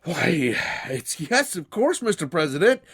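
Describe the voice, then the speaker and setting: A man's voice: on the high side, sing-song, a little slow, a bit bright, husky, voice pushed up, full character voice. A man voicing an honest, humble young countryman who talks in an eager, folksy, deferential way.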